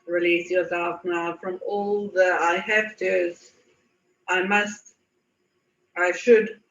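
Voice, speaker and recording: A woman leading a guided meditation in a soothing manner, with unhurried pauses and repeated phrases. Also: fairly low pitch, level tone. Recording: muffled, echoey, distant from mic.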